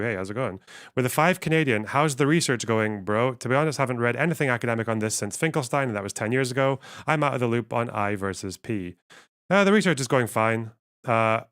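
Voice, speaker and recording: A man's voice: medium pitch, fairly bright tone. A man hosting a livestream, thinking aloud in a rambling, wry way while reading out chat. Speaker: livestream host.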